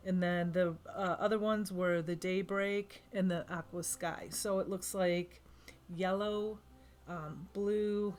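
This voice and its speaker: A woman with a cold, losing her voice, giving a rambling, chatty voice-over of a craft project, correcting herself often. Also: lowish voice. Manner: chatty, rambling, self-correcting with frequent ums. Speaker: craft vlogger.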